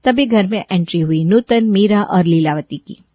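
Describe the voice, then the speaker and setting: A woman's voice: medium pitch, moderate pace, highs cut off. A woman storyteller reading scripted narration for a family moral story.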